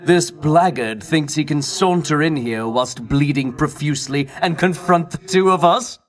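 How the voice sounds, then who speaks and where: Man acting as self-righteous male champion, fairly high voice, pompous, theatrical, archaic declaiming, haughty heroic video game character. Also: measured pace, lightly acted. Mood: haughty, scornful.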